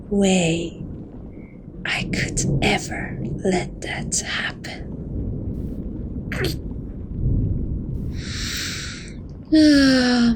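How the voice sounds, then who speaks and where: A woman playing a seductive, possessive catgirl thief who dotes on her captive, speaking softly and teasingly in a romantic ASMR roleplay. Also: mid-range voice, noisy background, close to an everyday voice. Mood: tender, possessive. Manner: soft, coaxing, teasing, doting.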